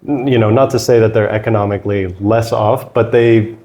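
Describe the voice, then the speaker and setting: A young man from Thailand talks in a loose, rambling way, full of "you know" and restarts. His voice sits low. He sounds at ease as the interview guest. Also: normal speed. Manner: relaxed, rambling, many fillers and restarts. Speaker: podcast guest. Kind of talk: casual interview talk.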